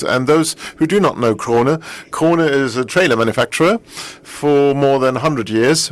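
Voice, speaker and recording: A middle-aged man with a German accent, a native German speaker, giving a conference keynote in English, earnest and often repeating and rephrasing himself. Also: medium pitch. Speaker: company executive speaking at a press event.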